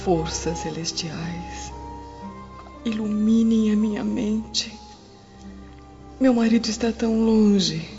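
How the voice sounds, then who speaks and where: A woman's voice: medium pitch, warm tone, lightly acted. An actress playing a sorrowful, pensive Roman noblewoman in a radio drama, in a solemn, theatrical monologue. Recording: dull lo-fi radio drama audio. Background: music.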